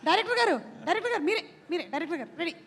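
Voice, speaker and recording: A woman's voice: very high-pitched, animated, very fast, a bit bright. A woman hosting a film event on stage in a playful, prompting manner. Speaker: event host. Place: large event venue, amplified stage. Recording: hall PA with long reverb.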